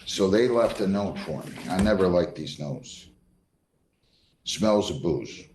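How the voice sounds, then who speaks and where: A man with a deep, dark-toned voice presides as a judge in a reverberant courtroom. He questions and admonishes firmly, repeating himself and cutting in. Faint noise sits behind him. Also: animated, slow pace. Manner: stern, firm, interrupts and repeats himself.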